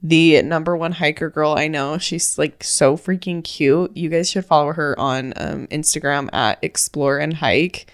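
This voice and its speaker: A woman's voice: low-pitched, measured pace, hardly any pauses. A woman in her twenties co-hosting a podcast, chatting casually and filling her talk with "like.". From Utah. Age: 20s. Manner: chatty, many "like" fillers, quotes her own thoughts. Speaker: podcast co-host. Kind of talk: casual chat.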